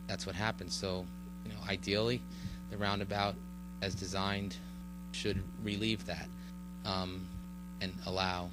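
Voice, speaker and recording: A low-pitched man explains a road project at a public meeting, halting and full of fillers, restarting his sentences. A steady electrical hum sits clearly under his voice. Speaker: village official presenting a road project. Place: village board meeting room.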